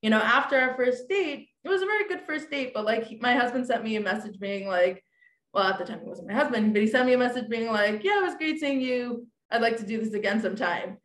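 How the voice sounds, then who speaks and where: A woman's voice: on the high side, fast talker, echoey room. A woman in her late twenties, a podcast guest telling a dating story in casual chat, acting out quoted lines, with lots of fillers. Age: 20s. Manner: animated, acts out quoted dialogue, many fillers.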